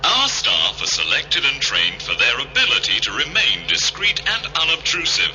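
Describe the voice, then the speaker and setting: An actor voicing an unfailingly polite, evasive hotel computer with formal, obsequious manners in a radio drama. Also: male voice, medium pitch, wildly swooping pitch, moderate pace, bright, forceful tone, slight background noise, full character voice, low-quality recording.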